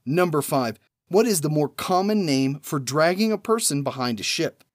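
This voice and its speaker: A man hosting a trivia podcast, reading numbered questions and then giving each answer after a pause, in a measured way. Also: fairly high pitch, lively intonation.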